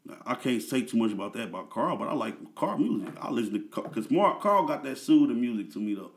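A man's voice: mid-range voice, sing-song, quick pace. A man in his thirties, a guest in a casual interview, talking in a laid-back, slang-heavy way with lots of fillers.

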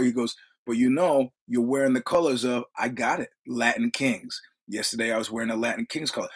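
A husky-voiced man in his sixties with a New York accent, spinning rambling stories in casual conversation. He swears freely and acts out the other people's dialogue as he goes. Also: mid-range voice. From New York City. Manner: profane, rambling anecdotes with quoted dialogue. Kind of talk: casual storytelling.

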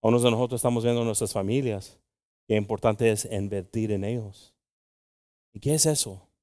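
Mexican American pastor preaching bilingually. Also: male voice, fairly low pitch, normal speed, darkish tone, no echo, studio quality. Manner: switches between English and Spanish, joking with the congregation. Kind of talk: bilingual sermon.

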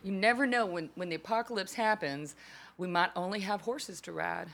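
A woman's voice: medium pitch, normal speed, vocal fry, fairly bright tone. A woman from Texas with a Texan accent, chatting casually on a podcast, laughing often and swearing now and then. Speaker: podcast co-host.

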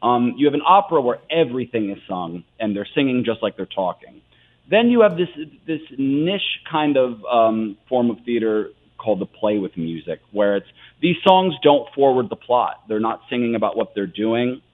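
A man in his thirties with an American accent, giving animated, earnest answers as a theater guest in a conversational interview. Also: medium pitch, moderate pace. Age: 30s. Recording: tinny phone-like sound.

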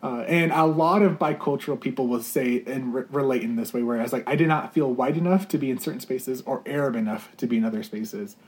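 A man's voice: mid-range voice, brisk, hardly any pauses, darkish tone. A young adult man, a campus ministry leader, answering interview questions in an earnest, searching way with many fillers and restarts. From United States.

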